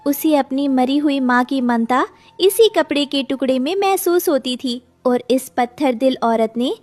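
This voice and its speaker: A woman voicing a compassionate, indignant female sparrow in a cartoon, lamenting earnestly. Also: sits high.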